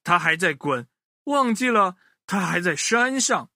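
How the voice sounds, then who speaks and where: Male storybook narrator for kids: unhurried, bright, highly expressive delivery. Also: no echo, clean studio sound. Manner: expressive, addressing child listeners directly. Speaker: children's storyteller. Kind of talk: animated storybook narration for young children.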